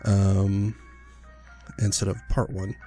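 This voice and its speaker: A man hosting a casual gameplay video, talking in a rambling, relaxed way with lots of fillers while getting over an illness. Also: deep voice, takes it slowly, faint music behind. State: recovering from illness, sniffly, clearing his throat. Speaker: gaming channel host. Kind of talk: casual let's-play commentary.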